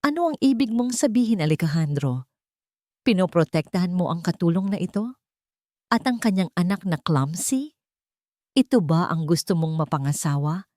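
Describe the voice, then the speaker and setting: A woman's voice: fairly low pitch, lively intonation, moderate pace, mellow, no echo, studio quality, natural voice. A woman voicing a cruel, haughty rich fiancée in an audio romance story, scolding with contempt. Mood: mocking.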